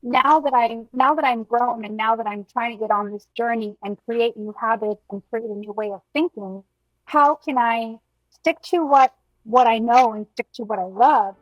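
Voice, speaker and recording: A woman from Miami with a fairly high voice speaks as a podcast guest and nutrition coach. She is earnest and reflective, and she leans on you-knows and ums as she thinks. The sound is dry and close. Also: moderate pace, no echo, lo-fi sound. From Miami, United States. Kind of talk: podcast interview.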